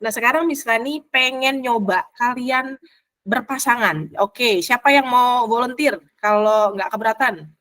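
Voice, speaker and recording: A lively woman with an Indonesian accent runs an online English lesson over a video call. Her voice sits a bit high, and she coaches her students through role-play, switching freely between Indonesian and English. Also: normal speed. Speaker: English teacher.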